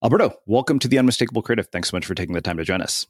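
A man's voice: on the low side, expressive, rapid-fire, no echo, faint music behind. A man hosting an interview podcast, introducing and questioning in a conversational style. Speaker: podcast host.

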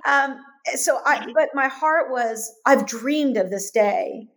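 A woman telling her life story as a guest, animated and repeating phrases for emphasis. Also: sits high, slow pace. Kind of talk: conversational storytelling interview.

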